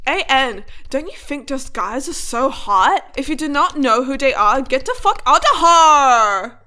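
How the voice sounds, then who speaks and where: A woman's voice: high voice, lively intonation, a little slow, sharp tone, exaggerated voice. A woman playing a defensive, bratty teenage fan fiction author giving comic asides to her readers. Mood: gushing.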